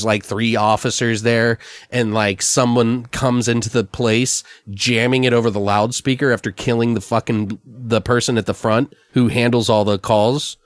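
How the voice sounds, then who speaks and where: A man with a fairly low voice talks in a casual brainstorm, recorded close and dry. He rambles as he improvises ideas and swears freely, like a podcast host thinking out loud. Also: dry recording. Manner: rambling, profane.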